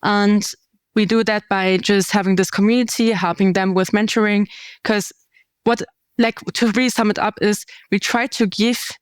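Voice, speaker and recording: Woman with a smooth, clear voice. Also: medium pitch, no echo. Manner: explanatory, searching for words, many fillers. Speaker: guest, community founder. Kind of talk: conversational podcast interview.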